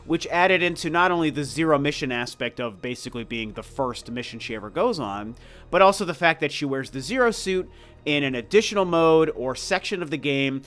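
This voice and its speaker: A man's voice: a little high, expressive, a little fast, a bit bright. A man in his forties with a congested, slightly scratchy, stuffed-up voice, giving casual and emphatic opinionated commentary as a video game review host. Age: 40s. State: congested, sick with a cold.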